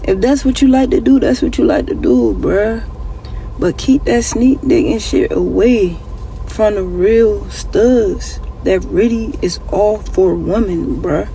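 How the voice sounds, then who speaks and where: Man, scolding casual rant, street slang, high, gravelly, slow, faint background noise. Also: mellow, rough recording.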